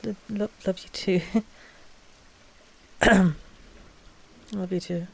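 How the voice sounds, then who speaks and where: A woman playing an anxious, tender vampire noblewoman in an ASMR roleplay, speaking softly and hesitantly with sighs. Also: mid-range voice, steady tone, extremely slow, creaky, heavily acted, rough recording. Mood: cozy, affectionate. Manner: soft, hesitant, sighing.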